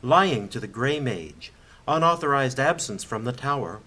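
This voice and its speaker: A man's voice: mid-range voice, animated, normal speed, sharp tone. An actor playing a stern male mage who reads out formal charges in a commanding, proclamatory way.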